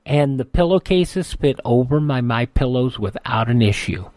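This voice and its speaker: A man's voice: medium pitch, lively intonation, normal speed, darkish tone, no echo. A man hosting on radio in a conversational, folksy way. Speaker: radio host. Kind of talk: folksy host-read radio promotion.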